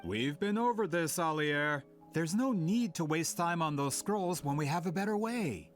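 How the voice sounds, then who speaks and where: An actor playing a self-important, impatient male scholar and publisher in a video game, smooth-talking and insistent. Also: fairly high pitch, lively intonation, normal speed, faint music behind, lightly acted. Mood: peeved.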